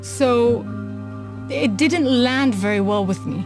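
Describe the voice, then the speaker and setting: A woman from Israel telling her personal story in an earnest, measured way. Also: a little high. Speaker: speaker in a personal testimony video. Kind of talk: personal testimony. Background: background music.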